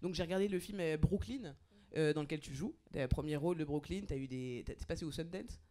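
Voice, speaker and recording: A woman hosting a podcast, chatting casually with teasing jokes and quick asides. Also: sits low, mellow. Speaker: podcast host.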